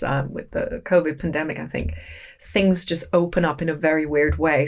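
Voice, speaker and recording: A woman hosting a podcast interview, chatting enthusiastically with many restarts and asides. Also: fairly low pitch. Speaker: podcast host and dog yoga teacher. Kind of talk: casual interview chat.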